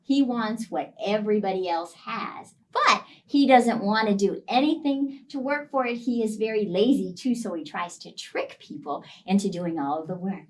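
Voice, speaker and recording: A woman telling a children's story as a library storyteller, explaining things simply in animated phrasing. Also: mid-range voice, normal speed, hardly any pauses, recorded from a distance.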